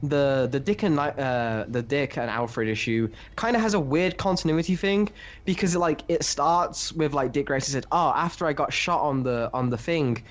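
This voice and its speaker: A man co-hosting a podcast, talking casually through comic books in a halting, rambling way. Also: on the high side, muffled recording. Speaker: podcast co-host reviewing comics. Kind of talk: casual chat, comic discussion.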